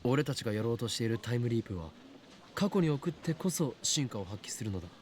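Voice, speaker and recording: A man's voice: medium pitch, big pitch swings, rapid-fire, a little mellow, a little hiss or hum, lightly acted. A man playing a young mad-scientist student in a visual novel, lecturing in a theatrical, emphatic way. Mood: earnest lecturing. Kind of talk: Japanese visual novel voice acting.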